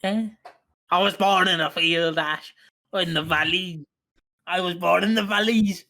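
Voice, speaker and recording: A man's voice: sits high, steady tone, measured pace, gravelly, sharp tone. A man co-hosting a casual podcast, blunt and heated, swearing freely. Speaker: podcast co-host.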